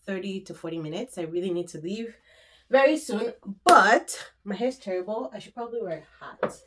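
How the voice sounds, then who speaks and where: A woman's voice: mid-range voice, bright, forceful tone, a little hiss or hum. A woman in her thirties, sniffly with a cold, chatting casually to the camera about her weekend and bags. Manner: chatty, gesturing with her hands. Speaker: vlogger. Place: room in front of a handbag shelf. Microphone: camera in front.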